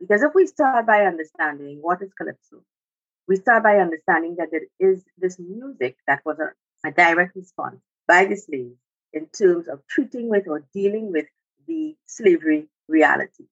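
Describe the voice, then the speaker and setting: A woman with a Trinidadian accent explains things in a formal, lecturing way as an academic guest. Her voice is husky and slightly low, and the recording is a little dull and lo-fi. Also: animated, normal speed. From Trinidad and Tobago. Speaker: academic guest, music sociologist. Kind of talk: explanatory interview talk.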